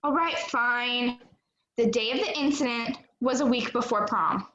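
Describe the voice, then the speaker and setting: A woman's voice: fairly high pitch, measured pace, sharp tone. A young woman acting in a play as a dramatic, stubborn young woman who recounts her own past. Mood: insistent. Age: young adult. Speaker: student actor in a school play. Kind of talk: one-act play acting. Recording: dull, echoey room sound.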